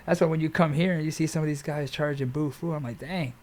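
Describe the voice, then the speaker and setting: A man's voice: on the high side, fairly even intonation, fast, a little mellow. An American man speaking as a podcast guest and jiu-jitsu trainer, telling stories casually in informal, slangy language.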